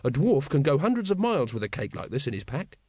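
A man's voice: mid-range voice, sing-song, quick pace, darkish tone, no room sound, studio quality. A man voicing an earnest, literal-minded young watchman, polite, eager and guileless, in a storybook reading. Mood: proud, cheerful.

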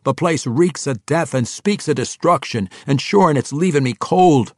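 A fierce dwarf king performed by a man reading a fantasy audiobook speaks forcefully in rustic dialect. The delivery is raised and loud, grim and hungry for a fight, recorded dry. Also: a little high, moderate pace, dry recording, pitched above the performer's own voice, lightly acted. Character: fierce, bloodthirsty dwarf kings, stout and grim.

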